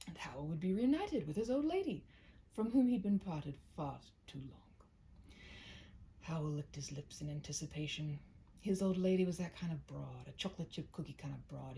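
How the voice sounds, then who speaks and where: A woman's voice: sits low, creaky, mellow, a little hiss or hum, rough recording. A woman narrating a humorous short story as a scripted read-aloud, in a theatrical, mock-grandiose manner.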